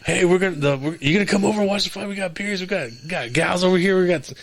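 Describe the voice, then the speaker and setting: A young man co-hosting a podcast in casual chat, animated and acting out other people's voices when he tells stories. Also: high voice, very fast. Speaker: podcast co-host.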